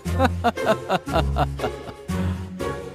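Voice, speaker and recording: A man's voice: medium pitch, big pitch swings. A man voicing a sly con artist who poses as a holy hermit in a cartoon story, theatrical and mocking, over music. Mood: gloating. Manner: slow, theatrical chanting and mocking delivery. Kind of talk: animated story character acting. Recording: background music under the voice.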